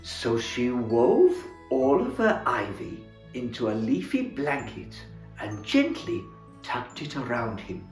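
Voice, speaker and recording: A man playing Santa as storyteller, reading a children's book aloud in a theatrical, expressive way. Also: medium pitch, takes it slowly. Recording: roomy echo, faint music.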